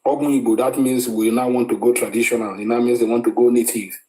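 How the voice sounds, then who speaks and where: A Nigerian man speaks in a mellow, relaxed voice of middling pitch. He argues his points as commentary, pausing on fillers and repeating words as he goes. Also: poor audio. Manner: frequent hesitations and repeated words. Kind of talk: opinionated commentary.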